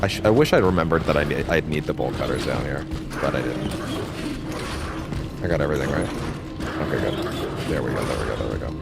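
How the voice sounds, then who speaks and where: A man's voice: very deep voice, fast talker, vocal fry, long pauses. A man casually commenting on a game he is playing, rambling with many restarts and swearing freely. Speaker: let's play host. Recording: steady background noise.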